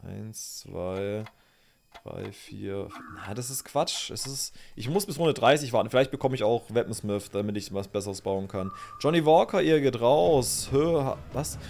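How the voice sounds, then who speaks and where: A man's voice: mid-range voice, animated, a little slow, creaky, darkish tone, slight background noise. A young man, chatting casually to his stream chat while playing, thinking aloud and thanking viewers. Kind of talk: casual game commentary to chat. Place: small indoor room.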